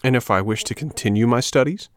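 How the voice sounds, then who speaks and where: A man's voice: medium pitch, fast, smooth, voice pushed up, natural voice. A man voicing a young wooden puppet boy in a storybook, indignant and cheeky, with a questioning, defiant manner.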